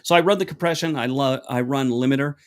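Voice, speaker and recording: Chatty man with a deep voice. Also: fast talker. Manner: rambling, chatty, many restarts and fillers; acts out both sides of an anecdote with raised pitch. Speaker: livestream host answering chat questions. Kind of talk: casual livestream chat. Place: room at home. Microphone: small wireless clip mic.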